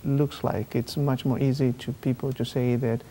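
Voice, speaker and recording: A man's voice: medium pitch, flat delivery, dark tone, a little hiss or hum, rough recording. A man from Spain, a neurosurgeon guest on a TV talk show, explaining carefully with many fillers. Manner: measured, halting with fillers. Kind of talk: TV interview, explaining.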